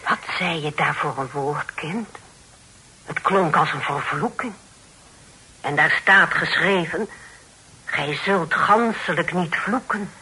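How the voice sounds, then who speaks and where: A devout, severe older aunt in a Dutch radio play, with a Netherlands accent. The voice is rough-edged and sharp. Every phrase is declaimed with biblical solemnity and reproof. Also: female voice, deep voice, takes it slowly, long pauses, full character voice, rough recording. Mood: stern, probing. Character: stern, pious, preachy older aunt. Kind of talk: Dutch radio drama acting.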